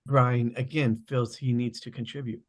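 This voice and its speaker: Husky man reading stage directions. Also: mid-range voice, little pitch movement, normal speed. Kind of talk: scripted narration.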